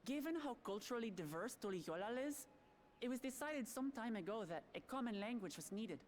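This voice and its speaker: A woman's voice: mid-range voice, darkish tone, a little hiss or hum, natural voice. A woman playing a confident, cheerful, candid young princess in a video game, speaking in an articulate, breezy way. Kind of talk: video game cutscene voice acting.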